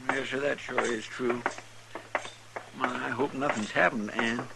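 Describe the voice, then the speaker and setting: Creaky, folksy western sidekick, rural drawl. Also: male voice, mid-range voice, steady tone, a little slow. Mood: worried. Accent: rural American drawl. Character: folksy, simple, fretful frontier sidekick. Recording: noisy vintage radio recording.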